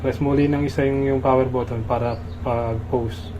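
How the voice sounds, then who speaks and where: A man's voice: medium pitch, steady tone, normal speed, noisy background. A man with a Filipino accent demonstrates a product step by step in a casual, instructional way.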